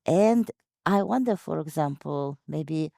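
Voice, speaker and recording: Upbeat female host on a video call; gravelly, dark tone. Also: low voice, animated, slow, no room sound, studio quality. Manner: upbeat, reads out audience questions. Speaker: host, moderator. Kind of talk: hosting a virtual open day.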